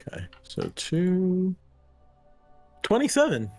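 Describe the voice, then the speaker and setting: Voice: mid-range voice, huge pitch swings, takes it slowly, a little mellow, faint music behind. Hesitant tabletop player. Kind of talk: casual game talk.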